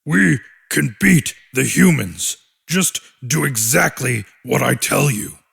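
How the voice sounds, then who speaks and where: A man plays a scaly little monster brother in an audiobook, determined and intense. The voice is heavily creaky and husky, and speaks slowly. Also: mid-range voice, expressive, fairly bright tone, pitched below the performer's own voice, heavily acted. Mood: defiant. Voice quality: gravelly, rough. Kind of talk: audiobook character acting.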